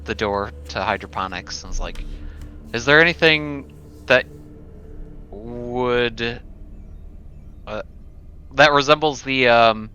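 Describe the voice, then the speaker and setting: A man's voice: medium pitch, slow pace, long pauses, bright, forceful tone, clear, resonant tone, dull audio. A man playing a tabletop roleplaying game, talking casually and hesitantly in trailing phrases. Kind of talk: casual in-character roleplay chat.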